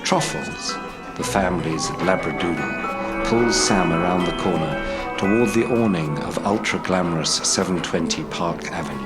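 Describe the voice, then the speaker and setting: Deep-voiced man narrating over loud music. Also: moderate pace. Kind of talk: scripted dramatic narration of a screenplay.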